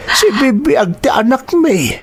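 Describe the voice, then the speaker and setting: A man with a Filipino accent playing a gentle, solemn elderly grandfather in an audio drama, speaking deliberately and calmingly. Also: very high voice, slightly rough, heavily acted. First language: Ilocano. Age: older. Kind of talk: emotional audio drama acting.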